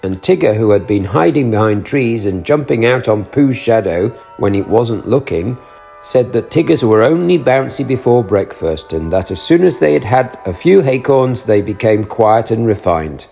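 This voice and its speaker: A man reading a children's storybook aloud, narrating in an expressive, animated way. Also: fairly low pitch, moderate pace, vocal fry, faint music behind.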